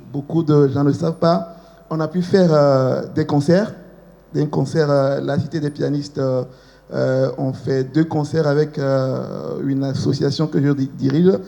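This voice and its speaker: Dad talking to the kids at school, formal, halting, kinda high pitch, dark tone. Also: male voice, gravelly, lo-fi sound. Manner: formal, halting, addresses the children. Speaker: parent and head of an association.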